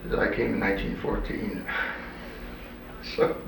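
A man interviewing for an oral history, hesitant with many pauses and restarts, on an old tape recording. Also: on the low side, level tone, creaky. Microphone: tape recorder microphone. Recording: distant, echoey old tape recording with hiss.

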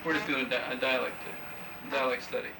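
A man interviewing for a dialect study, asking questions haltingly with many restarts. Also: mid-range voice, level tone, vocal fry. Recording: roomy distant old field tape with loud hiss.

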